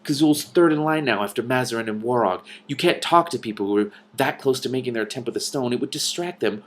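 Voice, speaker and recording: A man's voice: medium pitch, lively intonation, fast talker, voice pushed up, close to an everyday voice. A man voicing an officious, easily annoyed dragon with a blunt, dismissive manner in a storybook reading. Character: gruff, officious olive-green male dragon, easily annoyed.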